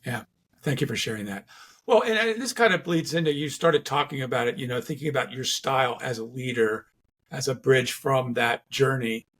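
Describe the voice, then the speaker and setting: A man's voice: mid-range voice, moderate pace, fairly bright tone. A man hosting a conversational interview, asking curious, rambling questions with many restarts. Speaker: podcast host.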